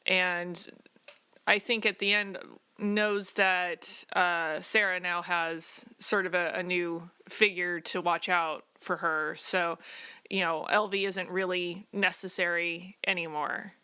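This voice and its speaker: A woman with a crisp, bright voice at a medium pitch chats casually. Her delivery is conversational, and the recording is dry with no room sound. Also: little pitch movement, slow, no echo. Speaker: actual-play podcast cast member. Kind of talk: casual chat.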